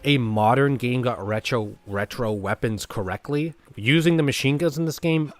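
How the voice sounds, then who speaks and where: A man's voice: medium pitch, moderate pace, no echo. A man hosting a casual video game review, talking in a blunt, rambling, conversational way.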